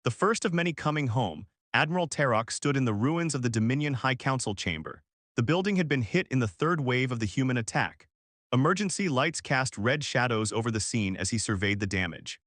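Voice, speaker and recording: A man's voice: mid-range voice, animated, fairly quick, fairly bright tone, dry recording, studio quality. A man reading a dramatic science fiction story as a scripted narrator.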